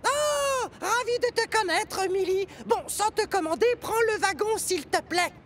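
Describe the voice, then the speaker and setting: A woman voicing a bubbly, bustling, helpful engine in a children's cartoon, brisk and exclamatory. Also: very high voice, expressive, fairly bright tone, a little hiss or hum, exaggerated voice. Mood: cheery. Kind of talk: French-dubbed children's cartoon acting.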